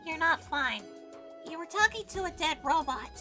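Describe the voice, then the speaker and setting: Sensible, exasperated little fox boy, played by a woman, very high childlike cartoon voice over clear music. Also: expressive, normal speed. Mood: reassuring. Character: young male fox sidekick, sensible, slightly exasperated. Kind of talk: comedic cartoon fandub.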